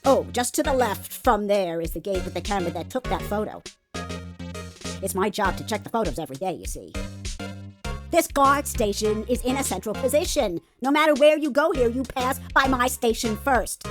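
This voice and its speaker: A woman's voice: fairly high pitch, lively intonation, moderate pace, close to an everyday voice. A woman voicing a gossipy, folksy, opinionated elderly security guard in a video game. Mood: gossipy, smug. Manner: folksy, gossipy, ungrammatical turns of phrase. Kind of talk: scripted game character voice-over. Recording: background music throughout.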